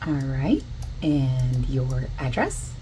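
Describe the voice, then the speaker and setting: A soft-spoken woman doing an ASMR roleplay as a survey interviewer, asking questions in a gentle, casual, reassuring way. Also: very deep voice, sing-song, very slow. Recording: constant keyboard typing noise. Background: computer keyboard typing and clicking.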